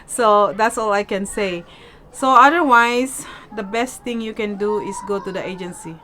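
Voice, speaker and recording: A woman's voice: medium pitch, moderate pace, sharp tone, low-quality recording. A woman with a Filipino accent chatting casually as a vlogger in non-native English, emphatic and repetitive, often checking in with "okay?". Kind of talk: casual question-and-answer vlog chat. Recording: noticeable background noise.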